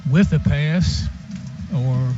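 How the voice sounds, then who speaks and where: A man's voice: fairly high pitch, drawn-out words, lo-fi sound, noisy background. An American man offering casual color commentary on a live football radio broadcast. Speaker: color analyst.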